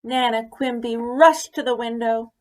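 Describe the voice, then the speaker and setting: A woman reading a children's story aloud expressively, deliberately, with pauses for emphasis. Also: fairly high pitch, steady tone. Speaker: story reader. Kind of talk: expressive picture-book read-aloud.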